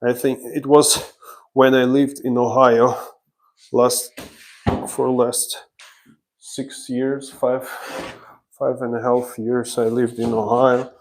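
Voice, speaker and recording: A man from Ukraine, a renovation contractor, explaining his work step by step on a job-site livestream, in slow, halting speech. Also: mid-range voice, little pitch movement, rough recording.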